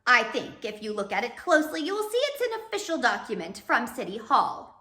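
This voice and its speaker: A woman's voice: a little high, big pitch swings, fairly quick, bright, forceful tone, roomy sound. A woman voicing a gloating, pompous villainous count in a storybook, theatrical, commanding and smug.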